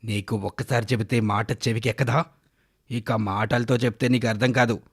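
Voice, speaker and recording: A man voicing a greedy village thug who talks in a bullying, commanding, scornful way for a children's animated story. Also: mid-range voice, normal speed, slightly rough, pitched below the performer's own voice, lightly acted. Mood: threatening.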